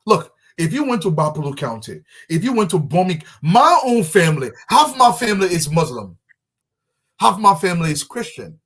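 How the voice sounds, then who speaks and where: A man's voice: sits high, very animated, normal speed, a bit bright, husky. A man from Liberia with a Liberian accent, a talk show guest making an impassioned speech and reading a poem. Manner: emphatic, repeats key phrases for force.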